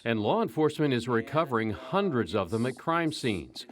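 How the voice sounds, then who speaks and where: An American man narrates a television news report. His voice sits at a middle pitch, and he delivers scripted copy as a reporter. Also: big pitch swings, moderate pace.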